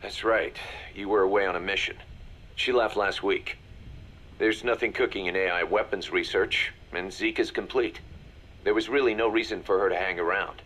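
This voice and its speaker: A man's voice: fairly low pitch, fairly even intonation, moderate pace, a bit bright. A man playing a shrewd, hard-nosed mercenary second-in-command, assured and businesslike, in a video game audio drama. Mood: cool, pragmatic.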